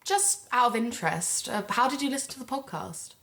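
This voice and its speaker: Curious female amateur sleuth and podcaster played by a woman, slightly high voice, keen, audio drama. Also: expressive, brisk, vocal fry, a bit bright. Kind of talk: audio drama dialogue and narration.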